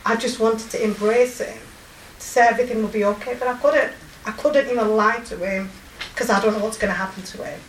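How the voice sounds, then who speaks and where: A woman in her forties with a strong Yorkshire accent, telling of her volunteer work in a halting, emotional way with many pauses and fillers. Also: on the high side, steady tone, echoey room, distant mic, slight background noise, low-quality recording. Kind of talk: personal testimony.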